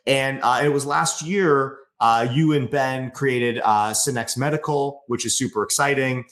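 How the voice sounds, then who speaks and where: Man, event host, enthusiastic, mid pitch, lots of fillers, echoey room. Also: a bit bright. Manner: enthusiastic, with many "uh" fillers and quick "amazing" reactions. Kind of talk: casual stage introduction.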